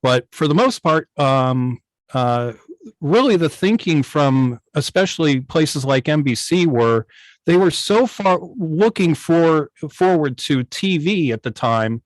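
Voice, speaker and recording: A middle-aged man explaining things casually on a video call, often pausing to search for his words. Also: on the high side, expressive, no room sound, poor audio. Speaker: guest, old-time radio station operator. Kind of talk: casual explanatory talk on a club video meeting.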